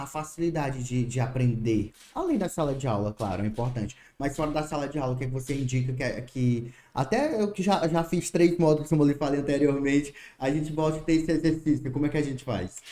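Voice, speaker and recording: Mellow-voiced man hosting an interview. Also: on the high side, a little fast, hardly any pauses. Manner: hesitant, repeats words when starting questions. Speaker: interview host. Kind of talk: interview questions and backchannels. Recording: echoey room.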